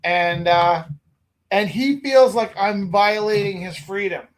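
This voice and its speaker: A man from Alberta, a gallery owner and teacher, rambling through animated anecdotes in casual chat. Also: high-pitched, slow, a little hiss or hum. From Alberta, Canada.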